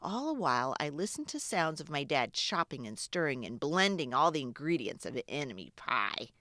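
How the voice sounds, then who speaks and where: Animated low-voiced woman reading to children. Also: normal speed, dry recording, clean studio sound. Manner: expressive, dramatic, with hushed asides. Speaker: children's book reader and channel host. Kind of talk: animated read-aloud storytelling for kids.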